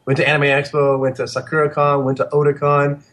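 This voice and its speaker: A man, a guest editor, joking in a deadpan, self-mocking way during a casual chat. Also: a little high, fast talker.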